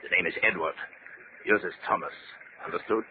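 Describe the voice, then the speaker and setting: Man acting a low-voiced, domineering officer. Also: fairly quick, phone-quality sound, lightly acted. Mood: irritable. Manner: brusque, condescending, impatient. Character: irritable, domineering injured Nazi officer, undercover. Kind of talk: 1940s radio drama acting. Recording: noisy, phone-thin old 1940s radio recording.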